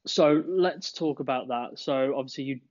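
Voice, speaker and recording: A male podcast host puts his questions in a measured way, with plenty of "uh" and "um" as he leads into each one. His voice sits at a middle pitch. The recording is dull and lo-fi. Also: darkish tone. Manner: measured questions with frequent "uh" and "um" fillers. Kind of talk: interview questions.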